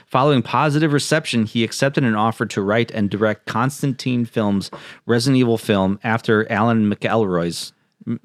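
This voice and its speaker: A man's voice: mid-range voice, moderate pace, no room sound, studio quality. A man hosting a podcast, moving between casual chat and reading out his notes. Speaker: podcast host.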